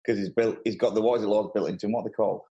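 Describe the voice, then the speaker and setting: A man's voice: fairly low pitch, fairly even intonation, rapid-fire, mellow, highs cut off, slight background noise. A man chatting informally as a guest on a podcast. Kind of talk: casual chat.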